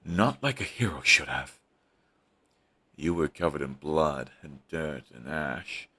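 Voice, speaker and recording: A man's voice: extremely low pitch, expressive, takes it slowly, pushed and bright, full character voice. A young man playing a bitter, fiercely devoted male villain in an audio roleplay, talking in an intense, raw way and swearing often. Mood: grieving.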